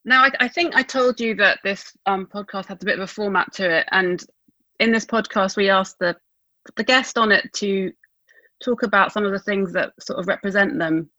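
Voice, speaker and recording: A woman hosting a podcast, asking measured, slightly hesitant questions. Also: mid-range voice, pushed and bright, no room sound. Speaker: podcast host. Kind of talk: interview questions. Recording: dry, dull remote audio.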